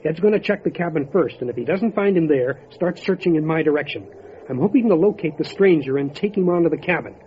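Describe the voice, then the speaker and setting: Casting: man as resolute county sheriff for radio play, fairly high pitch, matter-of-fact, muffled lo-fi sound. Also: lively intonation, fast, lightly acted. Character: steady, determined male county sheriff in mountain country. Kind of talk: old time radio drama acting. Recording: dull lo-fi audio with light noise.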